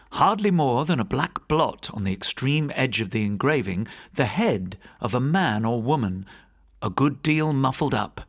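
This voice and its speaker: A man narrating a classic ghost story as a scripted audiobook, in a measured, literary storytelling manner. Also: medium pitch, gravelly, breathy edge.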